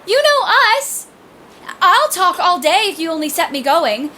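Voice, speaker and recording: A woman's voice: very high voice, very animated, unhurried, pushed and bright, slight background noise, heavily acted. A woman playing a bold, talkative, frank teenage girl in an audio play. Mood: eager, chatty. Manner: eager, frank, chatty.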